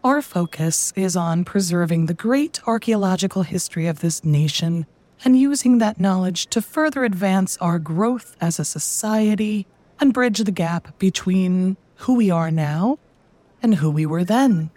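A woman's voice: on the low side, lively intonation, a little slow, dry recording. A chipper corporate spokeswoman. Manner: bright, rehearsed, promotional.

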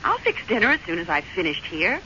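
An actress playing a prim, stubborn American wife in an old-time radio drama, clipped, chiding and quick to take offence. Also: female voice, fairly low pitch, wildly swooping pitch, bright, forceful tone, full character voice. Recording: muffled, noisy old radio recording.

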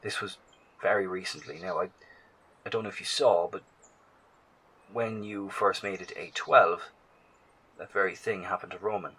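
Guy acting earnest shaken survivor in audio drama, really deep n husky, formal but keeps stumbling. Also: steady tone, pushed and bright, heavily acted, poor audio. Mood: grave, hesitant. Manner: halting, formal wording, emphatic. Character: earnest, shaken male survivor and researcher, awed and cautious.

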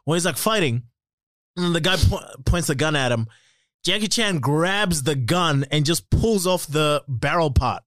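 A man's voice: on the high side, lively intonation, normal speed, a bit bright, no echo. A man co-hosting a podcast in casual chat, rambling, swearing and laughing often. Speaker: podcast co-host. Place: podcast studio.

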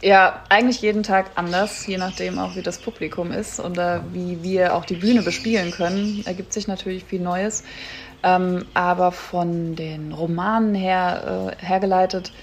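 A woman's voice: fairly low pitch, fairly even intonation, moderate pace, slight background noise. A woman, a stage actress, answering questions as a guest in casual interview chat.

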